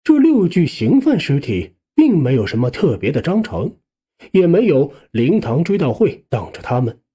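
A man narrating a scripted first-person suspense audiobook in a conversational, expressive way. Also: fairly high pitch, normal speed, slightly rough.

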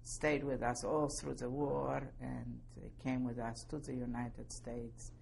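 Slow, very low-voiced older woman. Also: little pitch movement, vocal fry, darkish tone, slight background noise. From Poland. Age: 70s. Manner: slow and careful, spells out names. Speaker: interviewee. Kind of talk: oral history testimony.

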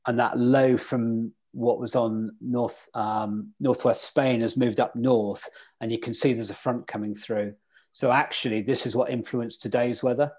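Husky man teaching over a video call. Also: medium pitch, steady tone, unhurried, a little mellow. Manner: interactive, quizzes the audience. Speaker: sailing weather instructor. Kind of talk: online club lecture.

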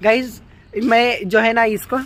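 A man's voice: very high-pitched, steady tone, slow, fairly bright tone, slight background noise. A teenage or young man vlogging on his phone while walking through a park, chatting casually and calling out to his viewers again and again. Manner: chatty, repeats "guys" often, mixes English words into Hindi. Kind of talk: casual vlog walk-through. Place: outdoor city park. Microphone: handheld phone.

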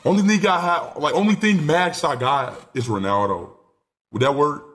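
A nineteen-year-old man streaming live from a small room on a headset mic, excitable and rambling, swearing freely. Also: fairly high pitch, moderate pace. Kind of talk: casual live stream chat.

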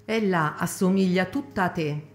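Woman voicing a gentle wounded young mother, dignified, pleading, radio drama. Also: lowish voice, moderate pace, close to an everyday voice. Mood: tender.

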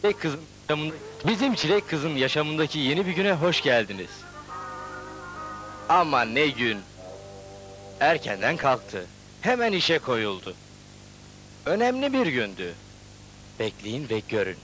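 Storybook cartoon narrator: bright, animated, teasing, faint music behind. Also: male voice, on the high side, a little slow, long pauses. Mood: cheerful, inviting. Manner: addresses viewers, teasing.

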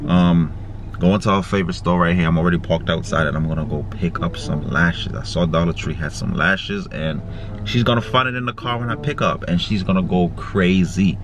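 A man's voice: fairly even intonation, a little fast. A young man vlogging to a dashboard camera from inside a car, chatty and playful with his viewers, then pleading innocence to his girlfriend. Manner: playful, then pleading and high-pitched in denial. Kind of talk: casual vlog to camera. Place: inside a parked car, outdoors in summer heat. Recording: car interior with background noise.

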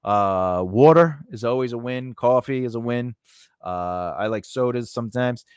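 A man's voice: medium pitch, a little slow, clear, resonant tone, no echo. Casual man teaching, close dry sound. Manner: casual, conversational, peppered with "you know" and "all right". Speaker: screenwriting instructor. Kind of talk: informal instructional lesson, talking through an outline.

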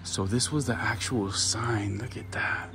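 A man's voice: lowish voice, steady tone, a little slow. A man hosting a travel vlog outdoors, chatting casually and exclaiming in amazement at what he sees. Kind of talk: casual chat while touring. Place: outdoors in a small town. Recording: noisy outdoor recording, vehicle and ambient sounds.

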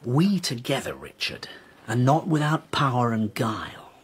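Husky, devious young king. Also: mid-range voice, big pitch swings, a little slow, heavily acted. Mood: scheming. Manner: insinuating, persuasive. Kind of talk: radio drama acting.

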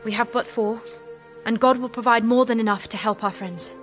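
A woman's voice: a little high, steady tone, moderate pace, a bit bright, faint music behind, lightly acted. A woman acting in an audio drama as a devout, determined young warrior maiden, earnest and resolute. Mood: resolute faith.

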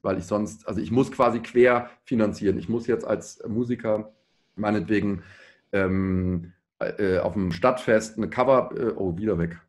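A man's voice: lowish voice, normal speed, darkish tone. A man in his thirties speaking reflectively in a panel discussion, tentative, in long winding sentences. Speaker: pop musician on a discussion panel.

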